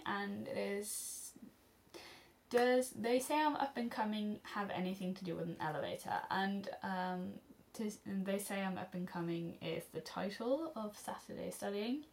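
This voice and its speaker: A teenage girl from Norway speaks English with a Norwegian accent, her mid-pitched voice full of vocal fry. She rambles through viewer questions in a casual vlog, with plenty of ums and little laughs. She is recorded up close on a camera at home. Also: unhurried, slight background noise. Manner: rambling and chatty, many ums and restarts, laughs often. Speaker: studyblr vlogger answering questions.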